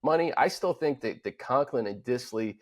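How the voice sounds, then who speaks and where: A man's voice: mid-range voice, lively intonation, moderate pace. An American man co-hosting a sports show, giving casual, earnest, conversational analysis into a studio microphone.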